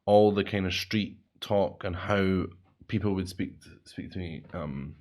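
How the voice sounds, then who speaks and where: Scottish man, podcast co-host, lowish voice, rambling, self-correcting. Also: unhurried, a little mellow. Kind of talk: casual chat.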